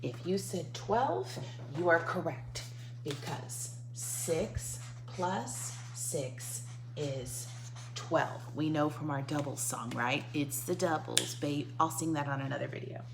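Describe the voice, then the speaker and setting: A woman's voice: deep voice, slow, gravelly. A woman teacher reading a picture book to young children, breaking off to explain and coax answers with questions and repetition, on a noisy recording. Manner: instructive, coaxing the listener with questions and repetition.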